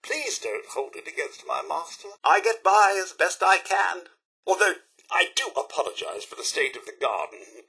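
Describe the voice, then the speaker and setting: A loyal robot butler from a video game with a British accent. The voice is bright and slightly husky, swooping theatrically across a wide range. He speaks with fussy, deferential politeness and formal diction. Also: sits high, very animated, moderate pace, full character voice. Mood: dismayed. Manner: formal, deferential, fussily courteous. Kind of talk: video game character voice lines.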